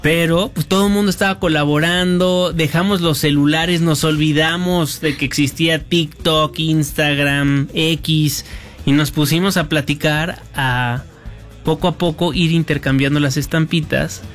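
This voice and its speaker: A man co-hosting a broadcast show, telling stories in a chatty, lively way and laughing easily. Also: fairly high pitch, measured pace, faint music behind. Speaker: co-host. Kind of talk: casual chat on a broadcast show.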